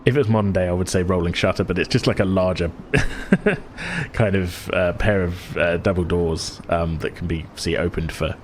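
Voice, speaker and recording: A man improvising narration as game master of a tabletop game, feeling for words in a halting way. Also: low voice, fairly even intonation, a little hiss or hum.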